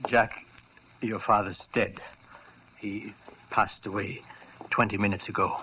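A man playing a gentle, consoling elderly family doctor in an old radio drama. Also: on the low side, steady tone, measured pace, lightly acted. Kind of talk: 1940s radio drama acting. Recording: dull lo-fi old radio recording with faint hiss.

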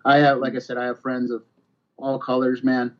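A man's voice: mid-range voice, monotone, normal speed. A man chatting casually on a podcast in blunt, profane, slangy talk, often repeating himself. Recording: dull, lo-fi podcast audio.

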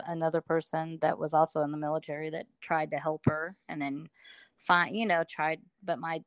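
A woman's voice: low-pitched, no room sound. A middle-aged woman from Alabama, telling her story as a guest in a casual interview, chatty with many fillers.

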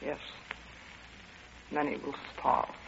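A woman's voice: slow pace, a bit bright. A woman playing an earnest, stern Soviet envoy in an old-time radio drama, on a muffled, noisy recording. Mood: resigned.

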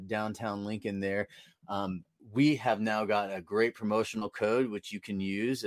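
A man in his late forties co-hosting a sports podcast, rambling along with plenty of ums. His voice sits a little low and comes across dry and close, with no room sound. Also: fairly bright tone, no room sound. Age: 40s. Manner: loose, rambling with many ums. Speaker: podcast co-host. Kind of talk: casual sports podcast chat.

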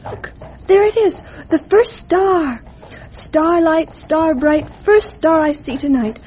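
A woman's voice: very high voice, animated, slow, a little mellow, full character voice. A woman playing a wistful, stubborn young farm girl in a radio drama. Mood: excited wonder. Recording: dull, noisy radio recording with crickets.

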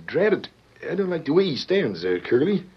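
A jittery rustic deputy with a rough, husky voice that jumps around in pitch. He is cautious and whines as he talks, in vintage radio western style. The sound is muffled. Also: big pitch swings, a little fast, exaggerated voice. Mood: nervous. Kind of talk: old-time radio western acting.

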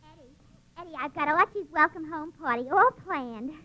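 A woman's voice: high-pitched, lively intonation, normal speed, sharp tone, poor audio. A woman playing an earnest, bossy and naive tavern owner's daughter in 1940s radio comedy, matter-of-fact and scolding. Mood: earnest, planning.

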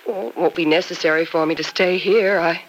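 An actress playing a polite, practical, independent nurse in an old-time radio soap opera. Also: female voice, fairly low pitch, steady tone, brisk, dull audio. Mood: polite, matter-of-fact. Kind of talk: 1940s-50s radio soap opera acting. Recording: noisy vintage radio recording.

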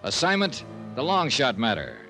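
An actor with an American accent playing a tough, sardonic, self-assured investigator in an old-time radio drama, clipped and wry in dialogue and narration. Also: male voice, mid-range voice, wildly swooping pitch, moderate pace, music in the background. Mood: brisk, narrating.

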